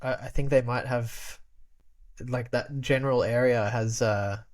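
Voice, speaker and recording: A man's voice: mid-range voice, fairly even intonation, unhurried. A young man talks in an easygoing, rambling way, full of "like" fillers and restarts. He speaks as a casual podcast guest who grew up speaking Albanian at home, and he laughs often as he goes. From Australia.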